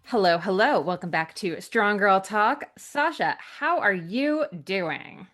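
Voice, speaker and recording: A woman's voice: medium pitch, animated, takes it slowly, fairly bright tone, soft background music. A woman hosting a podcast in a chatty, rambling way, with many fillers and restarts. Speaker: podcast host. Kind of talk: casual podcast chat.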